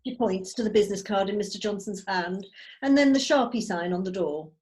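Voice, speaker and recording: Casting: woman narrator, medium pitch, scripted stage directions, table read on a video call. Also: a little fast, darkish tone.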